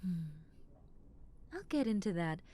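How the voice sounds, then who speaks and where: A young adult woman voicing a kind, caring dentist in an audio roleplay, speaking softly and reassuringly, often dropping into a whisper, with a playful, teasing edge. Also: medium pitch, sing-song, measured pace. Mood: soothing. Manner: soft, gentle and reassuring, slipping into whispers; playful, with frequent soft giggles. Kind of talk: ASMR roleplay.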